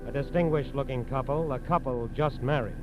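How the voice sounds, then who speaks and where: A man's voice: medium pitch, a little fast. An American man giving solemn, formal, dramatic scripted narration in a 1940s radio style. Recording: dull vintage radio, faint music.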